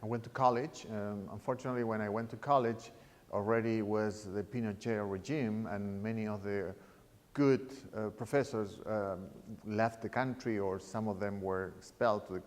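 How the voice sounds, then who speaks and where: Halting man in his fifties. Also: fairly low pitch, steady tone. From Chile. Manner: halting, searching for words, restarts phrases. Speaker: artist. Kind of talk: reflective interview talk. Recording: reverberant room sound.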